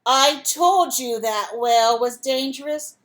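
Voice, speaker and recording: A woman's voice: high-pitched, takes it slowly, fairly bright tone. A woman voicing an alarmed, wary male fish in a storybook, urgently warning the others.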